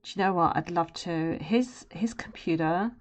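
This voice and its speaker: A woman with a naturally low voice, chatting casually and rambling to her live-stream viewers while she draws. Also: normal speed, slight background noise. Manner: chatty and rambling, drifting into murmurs and humming while she works. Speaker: streamer and artist. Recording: dull lo-fi stream audio.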